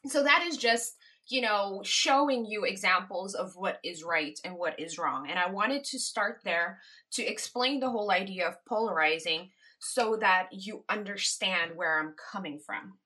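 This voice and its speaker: A woman's voice: mid-range voice, expressive, a little slow, sharp tone. A woman from the Caribbean hosting a podcast, talking in a reflective, casual monologue and laughing lightly between her points. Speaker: podcast host.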